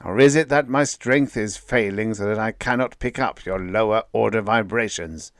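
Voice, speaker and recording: A man's voice: on the low side, normal speed, dry recording, clean studio sound, lightly acted. A man voicing an ancient, arrogant supreme intelligence that commands and roars threats. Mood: imperious. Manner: pompous, commanding, roaring. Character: booming arrogant cosmic intelligence, ancient, furious.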